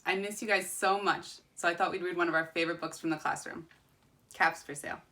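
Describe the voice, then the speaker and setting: A woman reading a children's storybook aloud like a teacher, expressively and deliberately, stressing repeated phrases. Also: on the low side, steady tone, pushed and bright.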